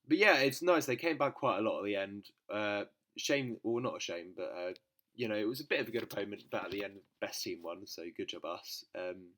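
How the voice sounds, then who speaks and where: A man's voice: deep voice, a bit bright. A British man giving casual gameplay commentary in a nasal voice, congested with a cold, in an informal, hesitant manner. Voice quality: nasal from a blocked nose. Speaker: gaming channel host.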